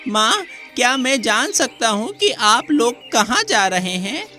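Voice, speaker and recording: A woman's voice: fairly high pitch, big pitch swings, measured pace, pushed and bright, faint music behind, pitched above the performer's own voice, exaggerated voice. A woman voicing a small orphaned monkey child in a children's story, plaintive and earnest. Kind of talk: children's moral story dialogue.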